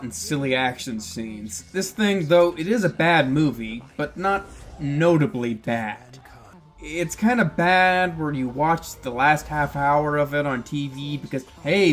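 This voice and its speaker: A man's voice: a little high, animated, a little slow, fairly bright tone. A man giving casual, opinionated film commentary in a blunt, sardonic way. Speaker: video essayist reviewing films.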